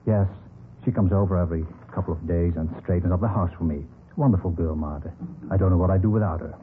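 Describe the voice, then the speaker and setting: Gentle, stubborn old businessman played by a male actor, low-voiced and hesitant, for a vintage radio crime drama on a tinny recording. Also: fast talker, slight background noise, close to an everyday voice. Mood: obliging. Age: older. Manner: hesitant, mild, repeats himself.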